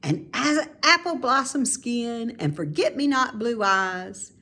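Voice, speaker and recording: Woman voicing a playful, animated storybook lady. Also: fairly high pitch, slow pace, voice pushed up, heavily acted. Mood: dramatic make-believe. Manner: animated, emphatic, savouring her words. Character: cheerful, playful grown woman who delights in housework make-believe.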